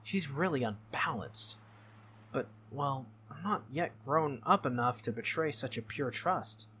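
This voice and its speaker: A game playthrough host reading visual novel text aloud as expressive narration, with casual asides. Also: mid-range voice, unhurried, a little hiss or hum.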